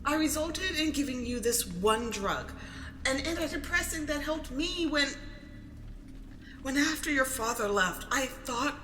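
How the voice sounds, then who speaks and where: A woman's voice: high voice, animated, a little slow, pushed and bright, exaggerated voice. A woman playing a defensive, overburdened single mother in an audio drama, pleading and emphatic. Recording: roomy echo, faint noise.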